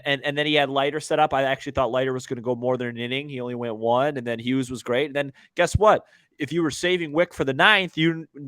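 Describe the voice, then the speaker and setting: A man's voice: mid-range voice, fairly bright tone, dry recording. A man co-hosting a sports podcast, giving casual, opinionated and emphatic commentary, often stumbling over repeated words.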